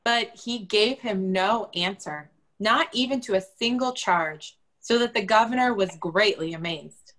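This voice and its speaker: A woman's voice: mid-range voice, measured pace, few pauses, a bit bright, poor audio. A woman giving a dramatic scripture reading as a church reader.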